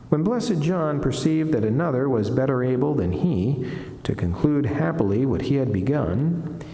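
A middle-aged man, a friar, giving a solemn devotional reading in a measured, deliberate, formal way from a lectern in a reverberant chapel. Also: medium pitch, warm tone. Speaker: friar reading a saint's life and reflection. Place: wood-panelled chapel, indoors. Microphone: lectern gooseneck microphone. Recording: echoey chapel with long reverb.

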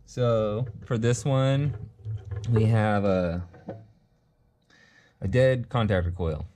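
A man's voice: on the low side, slow, mellow. A man teaching HVAC troubleshooting at a workshop bench, talking casually step by step and thinking aloud as he tests a circuit. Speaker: HVAC technician instructor. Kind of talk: hands-on troubleshooting demo. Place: workshop bench with a test board.

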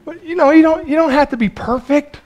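A man in his forties preaching a sermon in a small church, chatty and lively, laughing often and restarting his phrases. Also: very high voice, fairly quick. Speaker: pastor.

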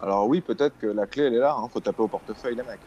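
A man's voice: mid-range voice, very fast, darkish tone, muffled recording, slight background noise. A man with a Parisian accent chatting on a night radio show, hesitant and full of fillers. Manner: hesitant, many fillers and restarts. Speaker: radio panelist. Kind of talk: casual radio chat. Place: radio studio.

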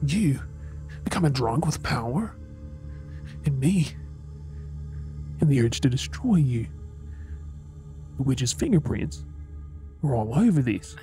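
A man's voice: medium pitch, warm tone. A man with an Australian accent playing a troubled, earnest werewolf boyfriend in an ASMR audio drama, hesitant yet intense.

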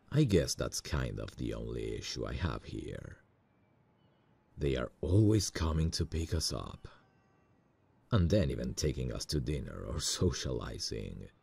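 Romantic ASMR lead: a man playing a nervous, lovestruck male boss; gravelly, expressive swings in pitch, intimate and self-conscious. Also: full character voice. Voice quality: very deep, gravelly, husky. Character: smitten, nervous male boss on a work trip. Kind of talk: romantic ASMR roleplay acting.

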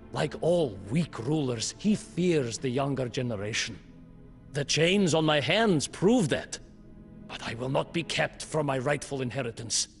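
An actor narrating a game campaign as a proud, ambitious young male prince, in slow, measured storybook narration. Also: a little high. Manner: slow, measured, brooding delivery. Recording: faint music behind.

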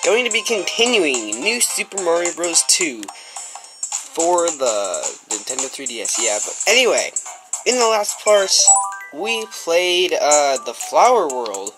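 A male gameplay commentator chatting casually in an excitable, rambling way with lots of fillers, slightly stuffy with a runny nose, recorded on a camera microphone. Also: fairly high pitch, unhurried, poor audio. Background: loud game music.

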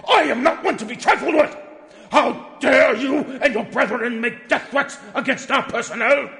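A man's voice: very high voice, normal speed, gravelly, exaggerated voice. A man voicing a pompous, outraged company executive in a theatrical dramatic reading of threatening emails. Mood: outraged bellowing. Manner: pompous, overwrought, swinging from hushed to shouting. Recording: long echoing hall reverb.

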